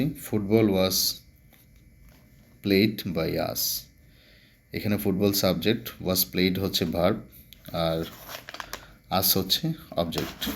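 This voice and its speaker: A man's voice: sits low, a little hiss or hum. A man, a Bengali speaker, giving a grammar lesson as a teacher, explaining step by step with repetition. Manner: didactic, repeats explanations step by step.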